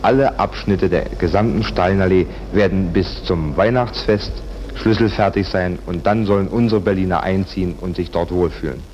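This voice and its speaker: A man's voice: low-pitched, normal speed, a little mellow, dull audio, slight background noise. A man delivering a scripted radio reportage in an old 1950s archive recording.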